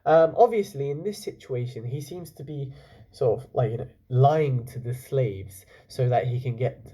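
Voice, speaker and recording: A man working as a teacher, reading a children's book aloud and pausing to explain it and put questions to his young listeners. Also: medium pitch, warm tone. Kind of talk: storytelling with explanatory asides to children.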